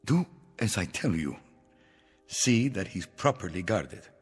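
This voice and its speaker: An actor playing a paranoid, grandiose revolutionary leader in a mid-century television drama. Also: male voice, sits low, big pitch swings, measured pace. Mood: commanding. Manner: grandiose, theatrical, commanding. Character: paranoid, imperious male revolutionary leader turned ruler. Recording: old TV soundtrack, reverberant, music behind.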